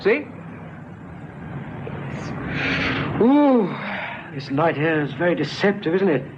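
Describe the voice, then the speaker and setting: Radio drama: a patient, coaxing constable, very animated delivery, muffled vintage recording. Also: male voice, on the high side. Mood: casual, distracting. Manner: chatty, persuasive, pausing. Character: gentle, coaxing police constable, patient negotiator. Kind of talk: 1970s radio drama acting. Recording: muffled old radio recording, faint noise.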